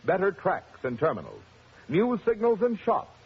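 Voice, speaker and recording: A man reading a formal, earnest radio announcement in a measured, stately delivery. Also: sits high, huge pitch swings, breathy edge. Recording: muffled 1950s radio broadcast.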